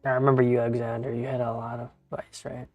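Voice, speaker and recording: A man's voice: medium pitch, completely flat delivery, fast, no echo, dull audio, soft background music. Casual rambling man in his thirties streaming. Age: 30s. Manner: rambling, casual, swears, talks to chat. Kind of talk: casual live-stream chat while gaming.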